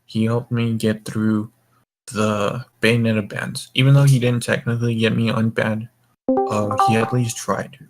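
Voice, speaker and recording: A man's voice: fairly low pitch, little pitch movement, a little slow, over loud music, rough recording. A drunk young man hosting a casual group chat, rambling and swearing as he goes. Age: young adult. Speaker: voice call host.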